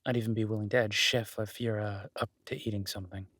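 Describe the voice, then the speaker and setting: A man as a low, husky cabin host. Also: level tone, fast, no room sound. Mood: playful, reassuring. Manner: teasing, soft-spoken, intimate. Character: flirtatious, teasing, protective male woodland cabin host. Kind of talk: intimate audio roleplay.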